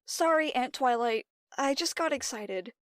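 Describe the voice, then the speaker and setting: A woman voicing an eager, curious young pony princess in an amateur cartoon audio drama, excitable and full of questions. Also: high-pitched, unhurried, no room sound, studio quality. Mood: apologetic. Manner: excitable, inquisitive.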